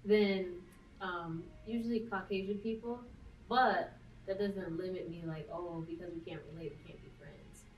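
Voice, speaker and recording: A woman's voice: fairly low pitch, steady tone, moderate pace. Casual young woman, distant in a roomy space. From United States. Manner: thoughtful, casual, frequent um and like. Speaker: guest. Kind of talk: casual conversation. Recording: roomy, distant with faint noise.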